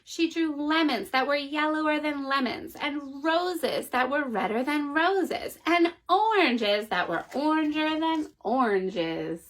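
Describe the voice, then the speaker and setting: A mother of four reading a children's picture book aloud from a child's bedroom at home, in a friendly, expressive way. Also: high-pitched, slow, hardly any pauses. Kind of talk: children's story read-aloud.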